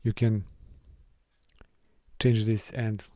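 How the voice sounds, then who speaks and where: A man from Serbia, teaching a course and walking through a software console, with careful, halting phrasing. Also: fairly low pitch, flat delivery, no room sound. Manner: careful, halting delivery with small restarts and non-native phrasing. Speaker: course instructor. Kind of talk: screen-recorded software tutorial.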